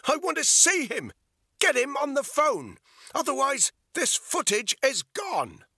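A man's voice: big pitch swings, unhurried, bright, forceful tone, no room sound, studio quality, exaggerated voice. A man voicing a desperate, frightened mother who screams and pleads with a gun in her hand. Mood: desperate screaming. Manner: screaming, shrill and frantic.

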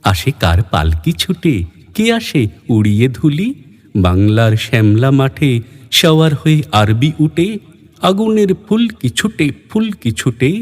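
A man's voice: mid-range voice, a little mellow. Male reciter, for dramatic verse. Declamatory and rhythmic, set in a long echoing reverb. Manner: rhythmic, declamatory, with rising refrains. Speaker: poetry reciter. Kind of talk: dramatic Bengali poetry recitation.

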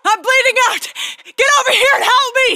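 High, forceful soldier. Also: female voice, brisk. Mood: urgent shouting. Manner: clipped military callouts, urgent. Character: tough young female soldier, urgent and alert. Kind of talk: video game combat barks.

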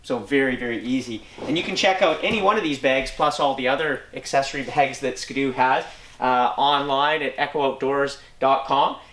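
A man's voice: medium pitch, moderate pace, a bit bright. A man in his forties presenting snowmobile storage bags in a showroom, talking casually and upbeat with frequent fillers. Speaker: snowmobile gear dealer presenter. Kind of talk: product walkthrough demo. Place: indoor showroom beside a snowmobile. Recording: echoey indoor room.

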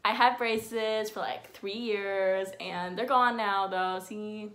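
A woman's voice: mid-range voice, fairly even intonation, slow pace, a bit bright. A sixteen-year-old girl chatting casually to her phone camera in a bedroom, rambling through facts about herself with lots of fillers and self-deprecating asides. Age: teens. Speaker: vlogger. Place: bedroom with window blinds, indoors. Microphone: phone camera at close range.

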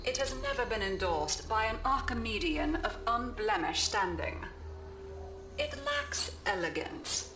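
A woman voicing a calm computerised lesson narrator in a science fiction game, measured and instructive. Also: mid-range voice, reverberant, background noise, natural voice. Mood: measured, formal. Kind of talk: scripted educational narration.

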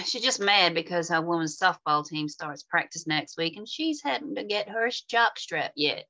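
Folksy working woman for a small-town comedy, acted by a woman; bright voice, lively pitch. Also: measured pace, full character voice. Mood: wry. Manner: folksy, informal. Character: plain-spoken small-town working women in a comic screenplay. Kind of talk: script table read. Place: indoor room at home, on a video call.

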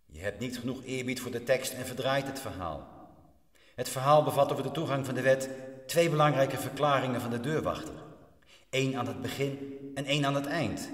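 A man narrating a radio drama in a solemn, measured, didactic way, as a stern storyteller. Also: mid-range voice, lively intonation, close to an everyday voice. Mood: solemn, instructive. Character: stern, measured male prison chaplain telling a parable. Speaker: narrator, actor and director of a radio play.

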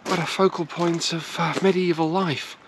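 A woman's voice: on the low side, fairly even intonation, normal speed. A middle-aged woman giving walking-tour commentary at castle ruins, talking unhurriedly with many hesitations into a camera held at arm's length. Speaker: travel vlogger. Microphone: handheld camera at arm's length. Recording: outdoor noise in the background.

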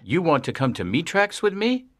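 A woman's voice: on the low side, very animated, moderate pace. A woman voicing a secretive alien man in disguise, reading character dialogue from a story. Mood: startled, nervous. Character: disguised male alien, secretive, startled, from distant planet.

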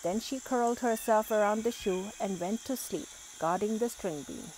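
A woman reading a children's picture book aloud, expressive and lively in the exclamations. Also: mid-range voice, moderate pace, a little hiss or hum, low-quality recording. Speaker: storybook reader.

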